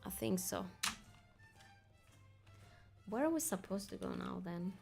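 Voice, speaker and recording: A woman's voice: medium pitch, expressive, a little slow, vocal fry, over music. A young woman streaming a game, chatting casually with her viewers, laughing easily and reading quest text aloud. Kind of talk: casual gaming commentary.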